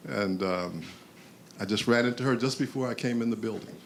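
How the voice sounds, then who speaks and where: An older man near retirement, reminiscing on an alumni panel in a relaxed, rambling way. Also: mid-range voice, a little fast, gravelly, slight background noise.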